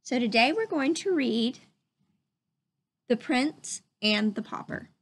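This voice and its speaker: A woman reading a children's story aloud as narrator, with expressive, deliberate story-time delivery. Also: fairly high pitch, husky. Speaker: storybook reader.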